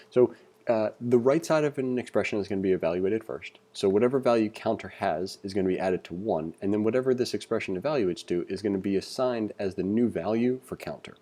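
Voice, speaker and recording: A man's voice: lowish voice, mellow. A man giving a casual screencast tutorial, reading along and thinking aloud in an informal, conversational way as an instructor.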